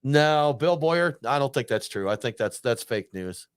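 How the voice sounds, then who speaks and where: A man's voice: medium pitch, lively intonation, moderate pace, clear, resonant tone, dry recording. Guy chatting beer on a podcast, dead dry studio sound, jokey. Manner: joking, laid-back banter. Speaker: podcast co-host. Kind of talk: casual chat.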